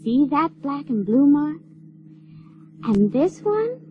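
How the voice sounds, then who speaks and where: A woman's voice: high-pitched, sing-song, takes it slowly, soft background music, exaggerated voice. An actress playing an artless, stubborn sixteen-year-old runaway girl in an audio drama, with a rustic, drawling Ozarks accent and plain-spoken manner. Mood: plaintive. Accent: Ozarks rural American. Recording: dull lo-fi radio recording, faint noise.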